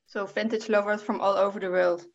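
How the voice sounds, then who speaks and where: A woman's voice: mid-range voice, level tone, fairly quick, dull audio. A woman hosting a casual podcast chat, friendly, with some fillers and hesitations. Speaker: podcast host.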